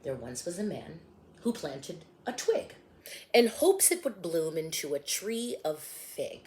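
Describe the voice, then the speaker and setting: A woman voicing a gentle parable-telling teacher. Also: lowish voice, sing-song, noisy background. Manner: rhyming, measured storytelling.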